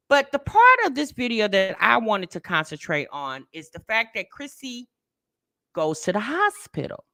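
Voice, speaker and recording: Woman reaction host, chatty, loves saying y'all to viewers, bright sharp voice. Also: mid-range voice. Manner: chatty, talks straight to viewers, repeats herself for emphasis. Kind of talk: casual commentary to viewers.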